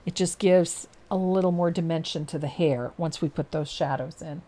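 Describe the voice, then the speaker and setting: Woman in her fifties, lower register, calm painting teacher talking through strokes on a live stream. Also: normal speed. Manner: calm step-by-step instruction with frequent laughs. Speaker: painting instructor and host.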